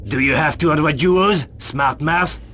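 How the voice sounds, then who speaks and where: An actor playing a surly, tough street bouncer in a video game, gruff, impatient and slangy. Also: male voice, on the high side, measured pace, bright, forceful tone, slight background noise. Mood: irritated, tough. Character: surly, tough male street-fight bouncer, easily irritated. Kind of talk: video game cut-scene acting.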